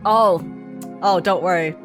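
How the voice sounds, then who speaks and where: A woman streamer reacting live to a game in an excitable, dramatic, giggly and exclaiming way. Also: lowish voice, a little slow, faint music behind.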